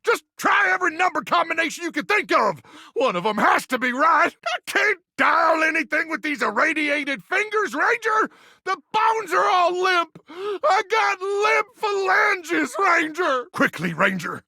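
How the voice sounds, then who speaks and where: An actor playing an earnest, unhinged muscle-bound post-apocalyptic warrior in a video game, gravelly and raspy, full of over-the-top military bravado. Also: male voice, very high voice, animated, a little slow, pushed and bright, no echo, studio quality, full character voice. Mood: frantic, panicked. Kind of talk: video game character acting.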